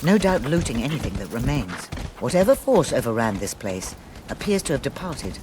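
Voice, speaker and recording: An actor playing a wary, formal male companion who speaks in grave, measured warnings. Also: mid-range voice, very animated, noisy background, close to an everyday voice. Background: game score music.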